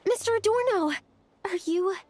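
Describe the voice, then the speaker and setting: A woman's voice: extremely high pitch, moderate pace, a little mellow. A woman playing an earnest, concerned young female ally in a video game, speaking politely and attentively. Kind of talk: anime-style game voice acting.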